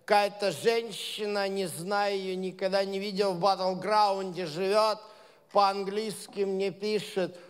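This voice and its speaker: A man's voice: high-pitched, flat delivery, a little slow, smooth. A man leading his congregation in an informal sermon, talking conversationally with many hesitations and building to emphatic points. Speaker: leader of a Messianic congregation. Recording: long reverb of a large hall.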